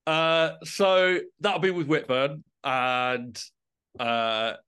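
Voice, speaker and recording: A man talks as a guest in a relaxed podcast conversation. His delivery is reflective and a little hesitant, circling back to restart phrases as he shapes each thought. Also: on the high side, very slow, smooth. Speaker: interview guest, musician and composer.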